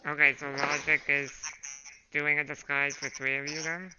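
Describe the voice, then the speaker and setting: A hoarse man running a tabletop game as dungeon master, unhurried and full of fillers. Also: a little high, little pitch movement. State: hoarse from a throat infection. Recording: muffled home recording with background noise.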